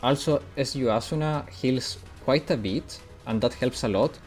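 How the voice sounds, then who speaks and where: A man from Italy streams a game live, his English accent a blend of British and American. He chats casually and answers viewers' questions between moves, in a mid-pitched voice with faint game music behind him. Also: normal speed. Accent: mixed British and American English. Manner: chatty, answers chat while playing. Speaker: gaming streamer.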